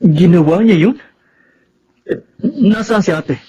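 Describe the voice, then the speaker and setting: A worried young Filipino son in a radio drama. His voice is fairly high and husky, earnest and respectful as he presses with questions. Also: animated, takes it slowly, mellow, lo-fi sound, exaggerated voice. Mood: insistent, shocked.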